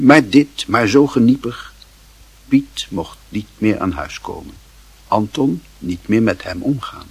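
A man with a husky voice reading scripted literary narration for a radio play. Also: medium pitch, dry recording.

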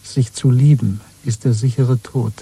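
An actor playing a weary, bitter, quietly defiant dissident in a radio drama, husky and intimate, speaking slowly and broodingly. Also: male voice, medium pitch, steady tone. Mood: intense, hushed resolve. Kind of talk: 1970s German radio play acting.

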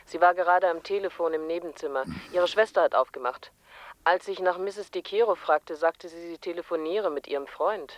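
An actor playing a put-upon but dutiful junior police colleague in a radio drama, matter-of-fact with some exasperation. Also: male voice, sits high, normal speed, a bit bright, rough recording. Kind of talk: German radio drama acting.

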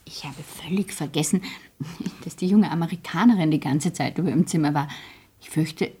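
An actress playing a genteel elderly high-society lady in a 1960s radio play, with a breathy voice. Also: a little fast, mellow. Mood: discreetly worried.

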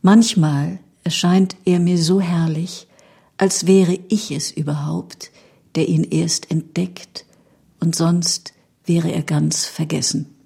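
A woman with a husky, breathy voice, reflecting and reading in an unhurried way. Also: fairly low pitch, level tone, warm tone.

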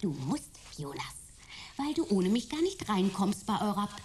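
A woman's voice: medium pitch, very animated, normal speed, a little mellow, a little hiss or hum, natural voice. A woman playing a spirited young adventurer in a sci-fi radio drama, gushing, teasing and insistent. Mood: insistent, playful. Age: young adult. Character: spirited, enthusiastic, headstrong young woman, detective's friend.

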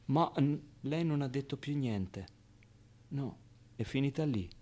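A wistful, streetwise young man tells of his boyhood in a theatrical monologue. His medium-pitched voice is colloquial and confiding, broken by dramatic pauses, with a naive edge. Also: expressive, darkish tone. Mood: naive, animated.

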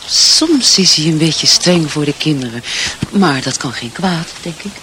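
A man's voice: on the high side, animated, measured pace, pushed and bright, slight background noise. An actor in a radio drama playing an earnest, dutiful wife and mother, hesitant, searching and sincere. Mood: wistful, confiding.

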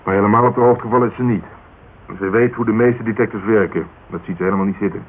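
An actor playing a wry, cynical police detective in a radio drama, speaking colloquial in an offhand way. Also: male voice, on the low side, fairly even intonation, fast talker, lightly acted. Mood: weary, sardonic. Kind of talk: Dutch radio drama dialogue. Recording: dull, hissy old radio recording.

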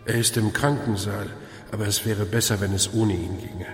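An actor playing a tired, wry older hospital volunteer with a dry, sardonic manner in a German radio play. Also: male voice, fairly low pitch, fairly even intonation, moderate pace, natural voice. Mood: guarded. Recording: echoey reverberant hall, music behind.

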